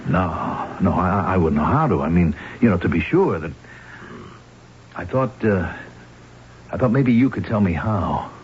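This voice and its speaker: Radio drama male lead: cornered debtor, lowish voice with wide swings, pleading and hesitant. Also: lively intonation, a little slow. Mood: nervous, scheming. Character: desperate, weak-willed gambling husband in deep debt. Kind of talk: 1970s radio drama acting. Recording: dull, noisy old radio recording.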